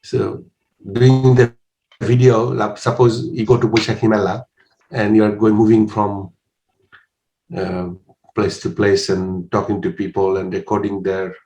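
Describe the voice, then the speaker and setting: Low-voiced guy in his 60s from bangladesh, measured, lots of uhs, echoey room. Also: flat delivery, mellow. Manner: measured, hesitant with frequent uhs. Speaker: interviewee. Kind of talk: casual interview answers. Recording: roomy echo.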